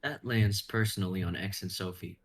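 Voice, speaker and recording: A man's voice: deep voice, brisk. A young man reading scripted narration and stage directions as narrator. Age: young adult.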